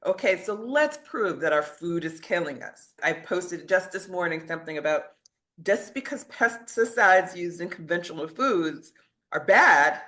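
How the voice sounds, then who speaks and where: A woman's voice: lowish voice, fairly bright tone. A woman talking as a podcast guest, conversational, stressing and rephrasing her points. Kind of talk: conversational discussion.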